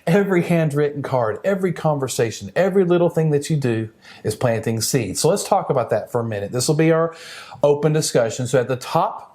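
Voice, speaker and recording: A man's voice: on the high side, expressive, fairly quick, darkish tone. A man coaching a sales team in a conversational training style, speaking directly to the listener with encouraging emphasis.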